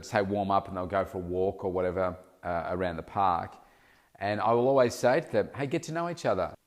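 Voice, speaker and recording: A man's voice: lowish voice, darkish tone. Nasal-sounding man musing on a podcast. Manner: rambling, self-correcting, thinking aloud. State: slightly nasal from hay fever. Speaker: podcast host and weight loss coach. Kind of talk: casual solo podcast talk.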